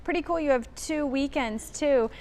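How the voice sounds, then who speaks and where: Woman, local TV news host, higher-pitched voice, polished delivery, live interview. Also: moderate pace, darkish tone. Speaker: TV morning show host.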